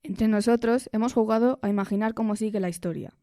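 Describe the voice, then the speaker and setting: Woman from w reading out interview questions, soft dark tone, dry recording. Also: on the high side, fast talker, no room sound.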